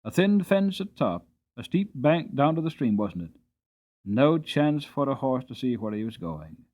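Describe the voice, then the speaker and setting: A man doing a soft-spoken old hostler. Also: mid-range voice, lively intonation, unhurried. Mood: musing. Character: kindly old male stable hostler, folksy.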